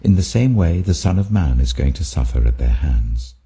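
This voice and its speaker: Man reading as Jesus, deep, grave teacher. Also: very animated, mellow, husky, exaggerated voice. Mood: grave, instructive. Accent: British. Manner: deliberate, emphatic. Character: Jesus, authoritative male teacher, grave and earnest.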